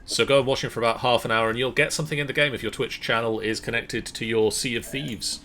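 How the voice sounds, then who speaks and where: A middle-aged man hosting a livestream, giving casual announcements with halting pauses. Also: mid-range voice, fairly even intonation. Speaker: streamer and host. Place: small room at home. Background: faint music.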